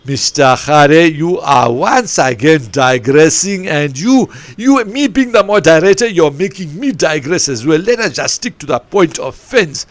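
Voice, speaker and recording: This is a bossy podcast moderator, as a man puts him on, pitched high and pushed loud. He scolds and teases, capping his remarks with a questioning "eh?". The voice sits close and dry on the mic. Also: lively intonation, a little slow, few pauses, dry recording. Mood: scolding. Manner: loud and scolding, ends lines with "eh?". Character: stern, teasing male podcast moderator.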